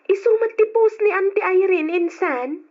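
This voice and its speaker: Actress for teasing young female cousin, high-pitched, gossipy, Ilocano Filipino accent, radio drama, dull lo-fi sound. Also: normal speed, a little mellow. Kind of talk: old-style Ilocano radio drama acting.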